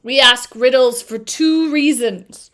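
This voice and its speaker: A woman voicing a huge, stern male sphinx in a storybook reading, with a low, rough, staccato voice and a slow, solemn delivery. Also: a bit bright. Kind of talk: storybook read-aloud.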